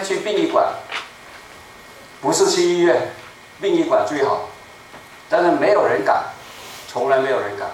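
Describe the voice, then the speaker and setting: An older man in his seventies delivers a sermon in a small church, taking his time and hammering home each point by repeating it. His voice sits a little high for a man. He is picked up from across the room, with heavy echo and a faint hiss behind him. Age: 70s. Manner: deliberate, slow and emphatic, repeating key phrases. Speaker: preacher. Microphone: room mic from a far camera. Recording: echoey room, distant mic, faint noise.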